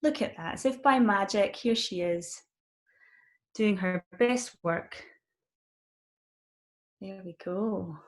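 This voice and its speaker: Gentle Scottish woman leading mindfulness. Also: medium pitch, takes it slowly, long pauses. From Scotland. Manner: gentle, warm and encouraging, with frequent ums. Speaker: mindfulness coach. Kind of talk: live-streamed guided mindfulness session.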